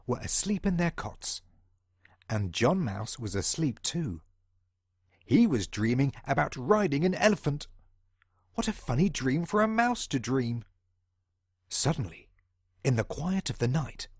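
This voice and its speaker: A man's voice: a little high, breathy edge, dry recording, clean studio sound. A British man reading a children's storybook in a theatrical way, as if speaking directly to young listeners.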